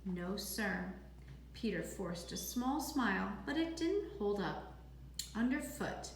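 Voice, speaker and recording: A woman reading a children's novel aloud as a teacher would, in expressive storybook narration. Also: a little high, a little slow. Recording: echoey room, faint background noise.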